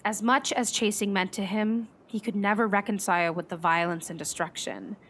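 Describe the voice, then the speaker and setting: A woman's voice: mid-range voice, fairly even intonation, moderate pace, fairly bright tone, a little hiss or hum, close to an everyday voice. A woman playing a grieving, conflicted meteorologist in a naturalistic radio play, speaking reflectively and hesitantly. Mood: somber, reflective. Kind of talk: naturalistic radio drama dialogue.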